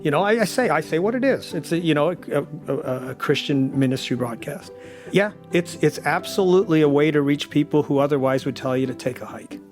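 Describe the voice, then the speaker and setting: A man's voice: on the high side, animated, fairly quick, darkish tone, faint music behind. A man in a casual interview, telling anecdotes and acting out both sides of conversations. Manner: acts out dialogue as he tells stories. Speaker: audio drama director, interviewee.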